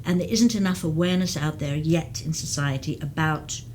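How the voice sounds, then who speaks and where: A woman's voice: deep voice, a little fast, a little mellow, a little hiss or hum. A woman who works as a geriatric case manager, explaining at length in an interview with frequent restarts. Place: community TV studio.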